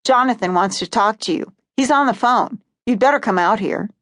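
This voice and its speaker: A gruff, impatient old husband barking through a door, blunt and exasperated, as a woman reads him. The voice sits fairly high and lifts further as he presses his point. It is a dry, close reading with no room sound. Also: moderate pace, a bit bright, dry recording, lightly acted. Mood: insistent.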